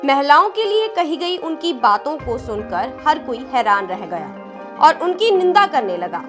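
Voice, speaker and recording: A woman news presenter reading a scripted report in a formal, measured way. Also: sits high, sing-song. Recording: music bed under voice.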